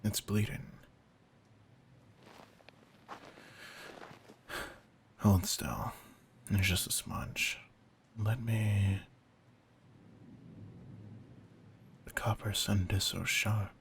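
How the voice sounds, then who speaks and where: A man performs a seasoned vampire hunter with a very low, gravelly voice. He speaks slowly and deliberately, commanding and faintly predatory, often sinking into breathy murmurs. It is an intimate audio drama with ambient noise behind him. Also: fairly even intonation, fairly bright tone. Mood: soothing, intimate. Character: veteran male vampire hunter, intense, commanding, secretly predatory. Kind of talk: slow-burn roleplay audio drama addressed to the listener.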